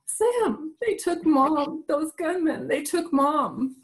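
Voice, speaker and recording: A woman's voice: sits high, expressive, slow pace, heavily acted. A woman playing a shaken, pleading young secretary in the style of a 1940s radio play. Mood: frightened pleading. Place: home, recorded over video call.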